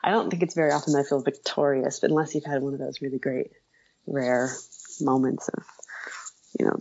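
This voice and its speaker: A woman being interviewed in casual conversation, talking haltingly and self-deprecatingly, often trailing off, with a creaky voice. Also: extremely low pitch, fairly even intonation, a little mellow, lo-fi sound. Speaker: interview guest, author.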